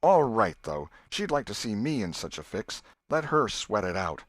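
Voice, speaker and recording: A scornful, cocky schoolboy from a storybook, acted by a male narrator. He talks to himself in folksy slang, dismissive and sure of himself, with the pitch nudged upward. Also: big pitch swings, normal speed, no room sound, clean studio sound. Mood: defiant.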